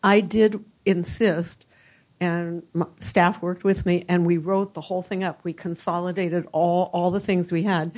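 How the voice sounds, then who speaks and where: A woman's voice: lowish voice, fairly even intonation, unhurried, a little mellow. An older woman answering on a university panel, speaking in a reflective way with many fillers. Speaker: panelist, former university provost.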